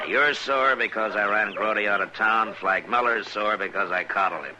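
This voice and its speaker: A very deep, forceful frontier marshal. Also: male voice, unhurried, poor audio. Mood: dry, unruffled. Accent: American. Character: firm, steady frontier US marshal of the Old West. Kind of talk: old-time radio western acting. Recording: old radio broadcast with background hiss.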